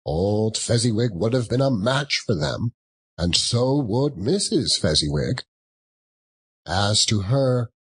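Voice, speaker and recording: A man narrating a classic story as an audiobook, in an expressive, deliberate and theatrical style. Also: medium pitch, husky.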